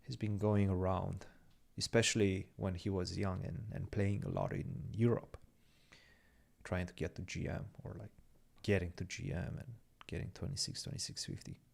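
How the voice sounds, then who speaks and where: A young man whose first language is Romanian, chatting loosely on a chess livestream, answering viewer questions and musing over positions. His voice sits on the low side. It has a gravelly, fry-tinged edge. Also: level tone, normal speed, a little mellow. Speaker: chess podcast co-host reading chat. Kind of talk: casual livestream chat with game commentary.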